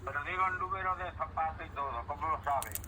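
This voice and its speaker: A very bright, forceful man's voice, pitched a little high, comes over a phone call with faint noise. He talks informally in a puzzled, reluctant way, often repeating himself. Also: quick pace. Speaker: prank call recipient. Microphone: telephone.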